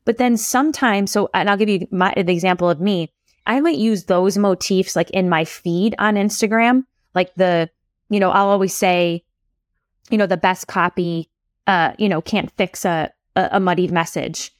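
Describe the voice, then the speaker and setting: A woman's voice: medium pitch, moderate pace, dry recording, studio quality. A woman talking as a guest on a podcast, a copywriter and storytelling coach, chatting in a loose way full of filler words. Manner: chatty, lots of "like" and "you know" fillers. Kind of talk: casual podcast conversation.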